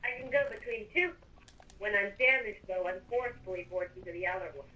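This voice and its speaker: A woman's voice: medium pitch, fairly quick, bright, forceful tone, rough recording. A woman playing along in a game roleplay, talking in a teasing, dramatic way. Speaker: player in a Minecraft roleplay. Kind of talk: playful in-game roleplay chat.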